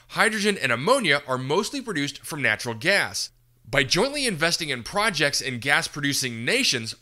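A man with a very bright, punchy voice, pitched fairly high and moving in lively swings. He presents scripted news commentary as a podcast host, with polished, emphatic delivery.

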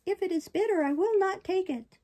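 Woman acting a very high childish puppet boy, stubborn, plaintive, whining, storybook character. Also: brisk, warm tone. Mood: whiny. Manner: plaintive, protesting.

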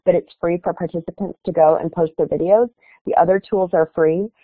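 A woman's voice: deep voice, dry recording. A woman giving a keynote presentation at an online conference, explaining her project earnestly with some fillers over a video call. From Chicago area, United States. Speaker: keynote speaker. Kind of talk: webinar presentation. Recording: tinny phone-like online call audio.

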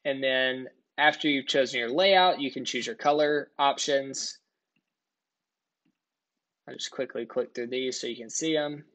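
A man talks through an on-screen tutorial in a relaxed, conversational way, one step at a time. His voice sits at a middle pitch. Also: measured pace, long pauses. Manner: casual, step-by-step explaining. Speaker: product tutorial presenter. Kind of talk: screen-recording tutorial voice-over.

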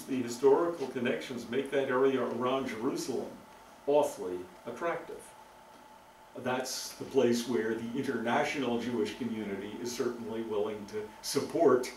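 An older man giving a relaxed, thoughtful lecture with frequent ums, recorded by a far camera in a meeting room. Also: medium pitch, roomy sound, slight background noise. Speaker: guest lecturer. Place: meeting room with green walls.

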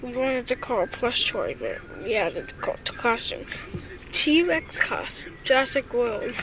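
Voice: medium pitch, big pitch swings, a little slow, crowd noise, poor audio. Someone chatting casually and playfully to camera, often repeating phrases, recorded on a phone inside a car. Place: inside a car, back seat.

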